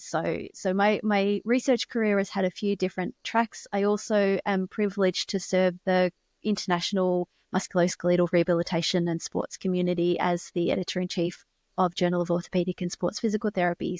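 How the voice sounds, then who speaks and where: Australian woman, forties, measured interview guest; dry close sound. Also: lowish voice, darkish tone, dry recording. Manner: articulate, measured, with occasional restarts. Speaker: guest researcher. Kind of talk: conversational interview.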